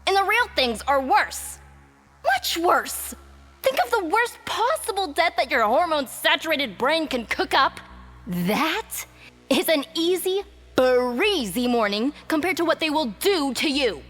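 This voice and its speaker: A woman's voice: sits high, big pitch swings, a little slow, fairly bright tone, faint music behind, full character voice. A woman playing a sardonic, snappy young female demon guard in an audio drama. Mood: scornful. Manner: sarcastic, exasperated, swooping.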